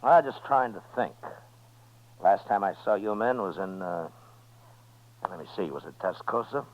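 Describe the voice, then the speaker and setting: Calm, laconic US marshal, male actor, very low voice, American, vintage radio western, dull old recording. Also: natural voice. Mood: dryly cool. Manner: laconic, measured, understated. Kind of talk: 1950s radio western acting and narration.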